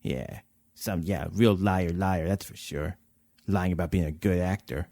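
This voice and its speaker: A man's voice: low voice, a little slow, warm tone, dry recording. A man acting a cranky, muttering neighbor in a comic audio drama.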